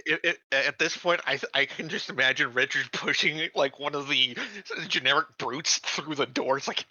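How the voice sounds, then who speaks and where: A man's voice: medium pitch, fairly even intonation, normal speed, pushed and bright, no echo, muffled recording. A man playing a tabletop game, chatting casually and acting out joking bits of the scene. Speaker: player in a tabletop roleplaying game.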